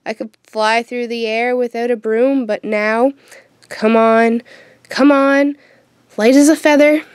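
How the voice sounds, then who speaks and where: Young actress as teenage witch girl, sardonic, troubled, clear smooth voice, close dry sound, audio drama. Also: a little high, fairly even intonation, slow, no room sound. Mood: wry, frustrated. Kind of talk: audio drama acting with first-person narration.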